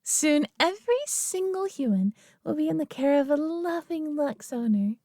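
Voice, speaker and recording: A woman acting as an alien mistress with a high, feminine voice, recorded close and dry. She dotes possessively on her pet human, coddling and gushing with gentle condescension. It is intimate roleplay audio drama. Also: big pitch swings, moderate pace, no echo. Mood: gleeful, excited.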